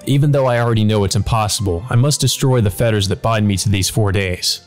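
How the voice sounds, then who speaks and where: A man's voice: lowish voice, fast, soft background music, natural voice. A man reading the part of a proud, frustrated mage in a visual novel, grave and brooding. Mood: grim resolve. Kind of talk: visual novel first-person monologue read aloud.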